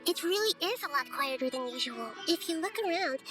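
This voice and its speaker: An actress voicing a gentle, wise young goddess in a video game, calm, deliberate and thoughtful. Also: female voice, high voice, faint music behind. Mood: watchful.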